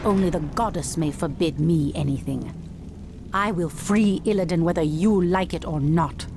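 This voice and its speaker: An actress playing a proud, resolute night elf priestess, determined and commanding, in a video game cutscene. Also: female voice, fairly low pitch, normal speed, noisy background, natural voice. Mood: defiant. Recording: music behind.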